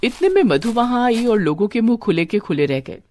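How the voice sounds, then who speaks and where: Lively woman narrating a cartoon story. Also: fairly high pitch, a little fast, a little hiss or hum.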